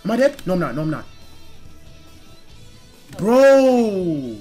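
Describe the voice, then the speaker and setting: A young man giving casual gameplay commentary with friends, excitable and foul-mouthed, slangy and often repeating himself. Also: high voice, slow pace, husky, soft background music. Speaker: gaming YouTuber.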